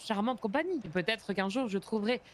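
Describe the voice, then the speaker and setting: A woman's voice: medium pitch, lively intonation, fairly quick, lightly acted. A woman voicing a hesitant 21-year-old female assistant in a roleplay game. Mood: earnest. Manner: hesitant, many fillers. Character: young woman of 21, journalist's assistant. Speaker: roleplay character. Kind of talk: in-character roleplay.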